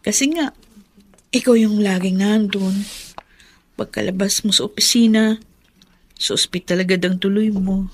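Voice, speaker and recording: A woman's voice: medium pitch, steady tone, a little slow, pauses often. An actress playing a wistful young Filipino single mother in a radio drama.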